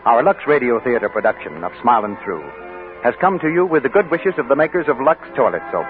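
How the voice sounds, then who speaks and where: Crisp male announcer, lively intonation, tinny 1940s radio over music. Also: medium pitch. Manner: crisp, brisk, formal. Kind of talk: formal closing announcement.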